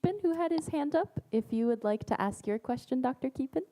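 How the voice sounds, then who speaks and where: A woman moderating a conference Q&A, brisk and courteous as she calls on speakers. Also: sits high, lively intonation, dark tone.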